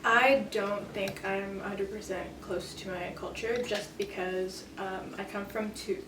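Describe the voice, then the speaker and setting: A woman's voice: medium pitch, steady tone, unhurried. Young woman chatting, heard from across a room. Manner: hesitant, many fillers. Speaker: guest in a group discussion. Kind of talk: casual chat. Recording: roomy, from a distance, faint noise.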